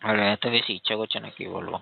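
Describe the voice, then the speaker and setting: A man with a gravelly voice chatting casually and intimately, in a complaining, coaxing way, over a tinny phone line. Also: lowish voice, steady tone, fast, slight background noise.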